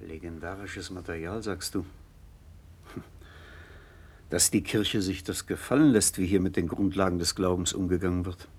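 An actor playing a thoughtful, conciliatory middle-aged father in a radio play, speaking in a measured, reflective way. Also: sits low, a little mellow, slightly rough. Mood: probing, concerned. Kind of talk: late 1960s German radio play acting, narration and dialogue.

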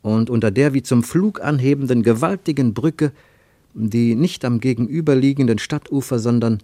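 A man narrating a literary radio play with measured, deliberate phrasing and dramatic pauses. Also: medium pitch, warm tone, dry recording, clean studio sound.

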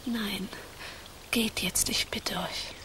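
A woman's voice: mid-range voice, fairly even intonation, takes it slowly, in a whisper, lightly acted. Woman playing a proud, spirited young noblewoman. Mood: urgent, hushed. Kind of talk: radio play acting.

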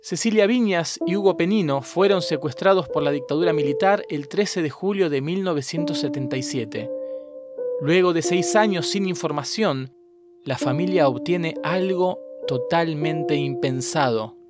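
Argentine guy narrating a doc trailer, highish voice, music really loud behind him. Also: moderate pace. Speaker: documentary narrator. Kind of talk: scripted narration, podcast trailer.

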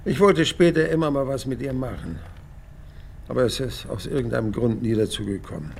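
A man's voice: on the low side, gravelly, slight background noise. An actor playing a hundred-year-old male radio playwright who rambles through his memories, wistful and proud, in a reflective radio drama monologue. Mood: wistful reminiscing. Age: 100. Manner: rambling, reflective, emphatic swings between murmur and outburst.

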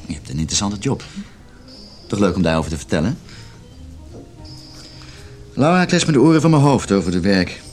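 A man's voice: fairly low pitch, lively intonation, a little fast, long pauses, a little mellow, faint music behind, lightly acted. An actor playing a suave, sardonic married salesman who flirts and teases in a radio play. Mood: flirtatious. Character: flirtatious, cynical married salesman named John. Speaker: sales manager character.

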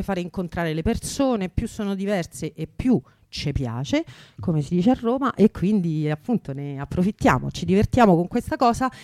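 A woman's voice: fairly low pitch, warm tone, no room sound. Chatty woman radio host, close dry sound. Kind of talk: chatty event announcements, unscripted.

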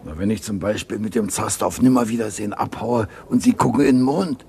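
A man acting a wary, streetwise small-time burglar in a German radio crime drama, laconic and slangy, with a gravelly, raspy voice. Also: on the low side, fairly quick, darkish tone. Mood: sceptical.

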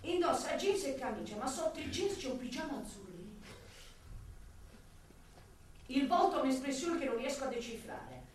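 An actress playing a sardonic, brooding police detective in a theatrical radio drama monologue. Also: female voice, high-pitched. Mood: grim, focused. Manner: dramatic, shifting from snappy retorts to pensive musing. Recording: distant, very echoey room, faint noise.

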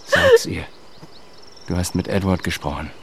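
Radio drama: a stern male official interrogating, low voice with lively swings, terse and accusatory. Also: measured pace, creaky, heavily acted. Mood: pressing. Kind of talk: German radio drama acting.

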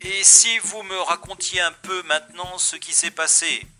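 A man's voice: sits high, fairly even intonation, measured pace, pushed and bright, exaggerated voice. Man playing a wry secret agent, echoey. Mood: curious, wry. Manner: dry, ironic, formal. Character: wry, self-assured male secret agent. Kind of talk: French audio drama acting. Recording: echoey, music behind.

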